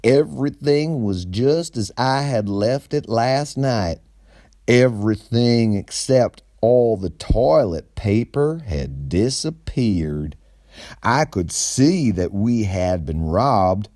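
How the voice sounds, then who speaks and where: A man voicing a nervous, awkward, tall male store manager, speaking in a raised, drawn-out, hesitant way. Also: medium pitch, lively intonation, dark tone, no echo, studio quality, voice pushed up. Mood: fretful.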